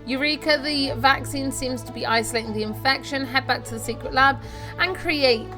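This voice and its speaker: A woman's voice: high-pitched, normal speed, music in the background. A woman hosting a casual game walkthrough, chattily talking through each step and sharing tips. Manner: chatty, narrates own actions step by step, reads pop-ups aloud. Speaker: gaming video host.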